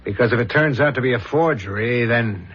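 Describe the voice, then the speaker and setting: An actor playing an earnest, dogged homicide inspector in a 1970s radio drama, serious, probing and deliberate, on a dull old recording. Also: male voice, medium pitch, fairly bright tone, husky. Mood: pondering, determined.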